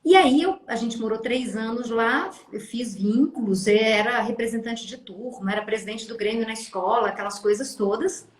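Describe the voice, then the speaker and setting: A Brazilian woman in her fifties, a therapist telling her life story in an interview, lively and expressive, often tagging phrases with a questioning aside. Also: fairly high pitch, moderate pace, few pauses. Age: 50s. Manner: animated, acts out quoted voices, frequent "né?". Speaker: guest, family constellation therapist. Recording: echoey room.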